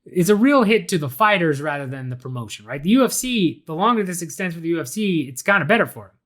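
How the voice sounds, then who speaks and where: A young man talks through a solo podcast episode in a loose, chatty way, often stopping and restarting his sentences. His voice sits a little higher than most men's and carries a slight rasp from a recent illness. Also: very animated, fast, a bit bright. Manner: casual, chatty, frequent fillers and restarts. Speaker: podcast host.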